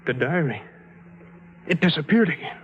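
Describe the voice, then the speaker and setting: A man playing a bewildered, desperate widowed husband in 1950s radio drama, speaking in anguished, halting self-questioning. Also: a little high, animated, natural voice. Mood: agitated, confused. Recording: dull vintage radio recording, loud music behind.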